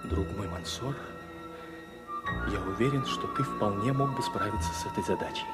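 An actor playing a young, impatient, excitable caliph in an audio fairy-tale drama, exclaiming theatrically. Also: male voice, mid-range voice, normal speed, over loud music. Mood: conspiratorial.

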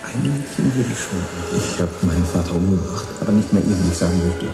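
In an echoey scene under loud music, a brooding young man talks in broken phrases. His voice is low and creaky, with swinging intonation, in a radio drama. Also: lively intonation, quick pace. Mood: troubled, yearning. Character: troubled, brooding young man yearning to be free. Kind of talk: radio play dialogue. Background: loud music, vehicle sound.

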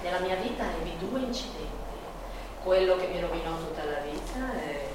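A woman's voice: fairly low pitch, animated, background noise, natural voice. Actress as a passionate woman painter, echoey stage monologue. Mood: anguished, reflective. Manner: slow, declamatory, poetic. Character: Frida Kahlo, passionate, anguished woman painter in love. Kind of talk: theatrical monologue with sung Spanish passages. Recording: echoey hall, distant mic, guitar music.

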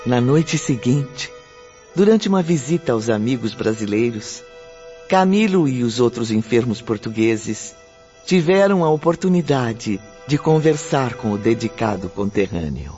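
An actor narrating a spirit memoir as a solemn storyteller in a scripted radio drama. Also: male voice, medium pitch, very animated, a little slow, darkish tone. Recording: dull radio recording with music behind.

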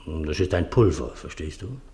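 An actor playing a domineering, shady seance master, commanding and coaxing, in a 1950s German radio play. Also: male voice, extremely low pitch, lively intonation, mellow. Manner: commanding, slow coaxing turning brusque. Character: domineering, shady man running a child medium's seance.

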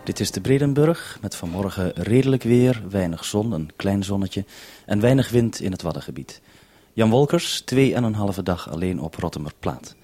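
A man with a Netherlandic Dutch accent hosting a radio programme in a measured, formal manner on a vintage 1970s broadcast. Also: fairly low pitch, expressive, warm tone, a little hiss or hum. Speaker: radio host. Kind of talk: scripted radio introduction and two-way radio link.

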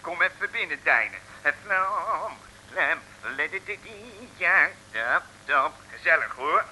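An actor playing a forlornly cheerful Dutch chip-stall owner in an old comic radio serial, chattering and muttering ingratiating asides. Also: male voice, on the high side, lively intonation, slow pace, sharp tone, exaggerated voice, low-quality recording. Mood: forced cheer. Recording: old radio recording with faint noise.

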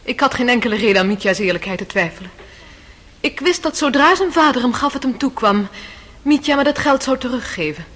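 A woman's voice: fairly high pitch, lively intonation, brisk, fairly bright tone. Proud young noblewoman, reverberant. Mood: dignified, confessional. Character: proud, composed young noblewoman testifying in court. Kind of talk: radio drama acting. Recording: reverberant, noisy old radio play recording.

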